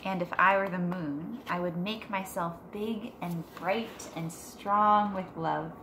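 Low-pitched woman reading gently, noisy room. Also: steady tone, takes it slowly. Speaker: teacher reading aloud to pupils. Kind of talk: picture book read-aloud. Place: living room at home, on a couch. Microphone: device camera a short distance away. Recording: noticeable room background noise.

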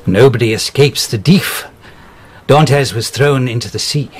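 A man's voice: mid-range voice, unhurried, breathy edge. An actor playing a nervous, obliging male records clerk who stammers and hesitates in a radio drama.

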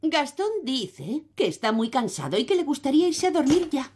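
High-voiced matter-of-fact fairy nanny. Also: female voice, big pitch swings, moderate pace, darkish tone. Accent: Castilian Spanish. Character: matter-of-fact adult fairy nanny, relaying messages brightly. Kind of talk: animated cartoon dub.

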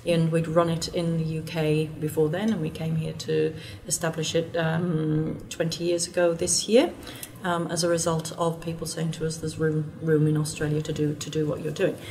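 A woman's voice: low voice, completely flat delivery, moderate pace, dark tone. Middle-aged Englishwoman on a panel. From England. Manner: earnest, hesitant restarts, gesturing. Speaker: panel guest. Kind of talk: panel discussion. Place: conference room with audience.

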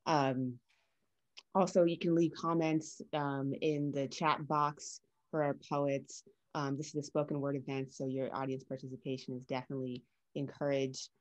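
A woman's voice: a bass voice, level tone, moderate pace, rough recording. A woman, around her thirties, informally hosting an event as MC over a video call. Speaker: MC, creative writing teacher. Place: small room at home, indoors.

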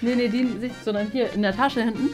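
High-voiced young woman vlogging. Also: steady tone, brisk, soft background music. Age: young adult. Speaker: vlog host. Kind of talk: casual vlog chat to camera. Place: indoors, small craft studio and office rooms. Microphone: handheld vlog camera at arm's length.

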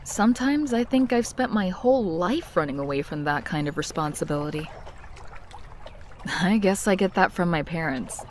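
A woman playing a young woman confiding her painful past in an expressive, reflective comic dub narration. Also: fairly low pitch, normal speed, a little hiss or hum, close to an everyday voice. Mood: reassuring, wistful.

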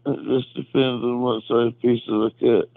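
Gravelly, drowsy man on a phone line. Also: medium pitch, level tone, no echo. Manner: plain, unhurried, terse. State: drowsy, trying to sleep. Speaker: prank call recipient who makes wood crafts. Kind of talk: casual phone conversation. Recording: muffled narrow phone line. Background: fan blowing.

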